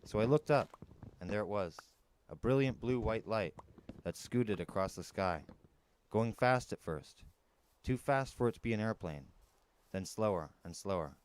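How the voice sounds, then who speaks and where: A man's voice: fairly low pitch, expressive, moderate pace, pauses often, clean tone. A man reading a first-person story aloud as a dramatic narrator, on an amateur recording. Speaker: audiobook narrator.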